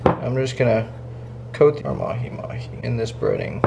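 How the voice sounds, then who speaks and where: A man's voice: mid-range voice, fairly even intonation, moderate pace, creaky, noisy background. A man in his thirties, chatty and upbeat, talking through a cooking vlog in his home kitchen. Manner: upbeat, chatty, explains each step. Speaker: cooking vlogger. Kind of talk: casual cooking vlog to camera.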